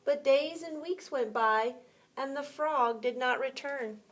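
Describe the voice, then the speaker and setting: A woman with a fairly high voice reads aloud as a preschool teacher would. She takes her time, explaining things in simple terms and putting questions to her young listeners. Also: takes it slowly. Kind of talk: read-aloud storytime with asides and questions to young children.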